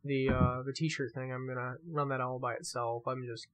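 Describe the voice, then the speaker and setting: A male podcast co-host with a medium-pitched voice. He chats casually and jokes around, slipping into mock-serious banter and swearing freely as he goes. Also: little pitch movement, moderate pace, a bit bright. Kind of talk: casual joking podcast chat.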